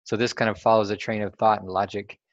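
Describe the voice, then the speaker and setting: A man's voice: lowish voice, level tone, fast, no echo, highs cut off. A young man hosting a casual chat, asking curious questions and laughing easily. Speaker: host.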